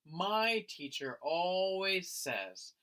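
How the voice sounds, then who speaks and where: A man reading a children's picture book aloud like a teacher, in an expressive, dramatic manner. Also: sits high, very slow. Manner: dramatic, acting out the lines.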